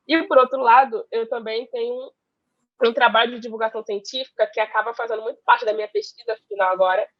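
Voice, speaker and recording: A woman's voice: fairly high pitch, no room sound, lo-fi sound. A woman in her twenties speaking on an online panel, chatty, with many fillers, over a video call. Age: 20s. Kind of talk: casual online panel talk.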